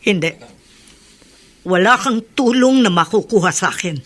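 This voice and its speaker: Husky, unyielding Filipino mother. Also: medium pitch, animated, normal speed, fairly bright tone. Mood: stern, reproachful. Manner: firm, reproachful. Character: stern, unyielding mother of two grown sons. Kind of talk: Filipino radio drama acting.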